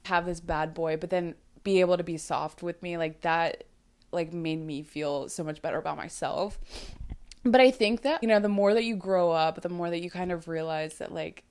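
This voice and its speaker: A young woman coaches listeners on dating in a loose, chatty monologue. Her voice sits slightly low and still carries morning sleepiness. She rambles with fillers and the odd casual swear. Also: normal speed, a little mellow, smooth. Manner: chatty, lots of likes and ums, swearing. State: sleepy voice, just woke up. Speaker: podcast host and dating coach.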